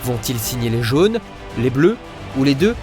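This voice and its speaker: A male host narrating a competition show in a scripted, suspense-building voice-over. His pitch sits mid-range, and music plays clearly underneath. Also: sing-song, normal speed. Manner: suspenseful, announcing.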